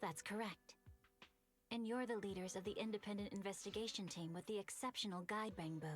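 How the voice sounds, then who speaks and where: A woman's voice: mid-range voice, fairly even intonation, lightly acted. A woman playing a stoic, resolute female commander in a video game, speaking formally and with composure. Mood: composed, formal. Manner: formal, composed, deliberate wording. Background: background music.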